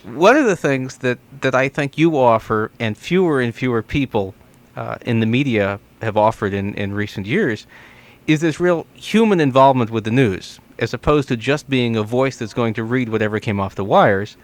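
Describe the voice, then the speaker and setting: A man's voice: medium pitch, normal speed. A man hosting a radio talk show from a studio, interviewing in a conversational style.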